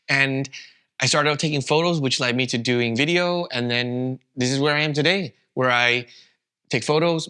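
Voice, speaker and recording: A man from Canada, whose first language was Vietnamese, co-hosting a podcast in a relaxed, rambling chat. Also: medium pitch, clean tone. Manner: relaxed, rambling, with many fillers. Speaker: podcast co-host. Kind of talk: casual chat.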